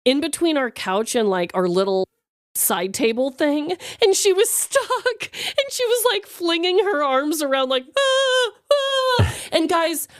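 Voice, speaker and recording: A woman co-hosting a podcast, telling personal stories in an expressive, giggly, casual way with some swearing. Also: extremely high pitch, unhurried, no room sound, clean studio sound. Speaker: podcast co-host. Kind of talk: casual chat, animated personal storytelling.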